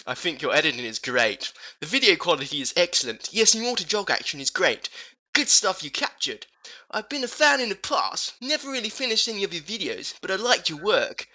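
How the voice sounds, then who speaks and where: A woman's voice: medium pitch, sharp tone. A woman co-host reading gamer forum posts aloud for comedy, with a mock-serious, exaggerated delivery.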